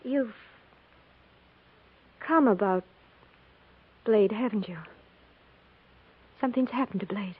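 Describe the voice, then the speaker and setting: An actress playing a fearful, grieving young frontier wife in a 1950s radio western, speaking hesitantly with a tremor. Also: female voice, mid-range voice, takes it slowly, long pauses, breathy edge, narrow, tinny audio. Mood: stunned grief. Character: frightened, grieving young frontier wife, new to the hard life. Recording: scratchy, phone-thin 1950s radio recording.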